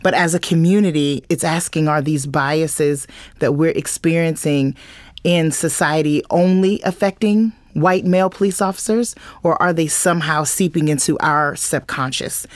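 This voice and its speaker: A woman's voice: low-pitched, normal speed, dry recording, clean studio sound. A woman guest giving reflective answers in an interview about her work.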